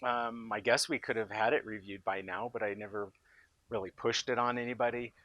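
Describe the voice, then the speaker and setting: An American man giving an informal, unscripted product pitch as a company boss, speaking with enthusiasm and emphasis. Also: fairly even intonation, a little fast, sharp tone, dry recording, highs cut off. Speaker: company founder presenting his loudspeaker in a product demo.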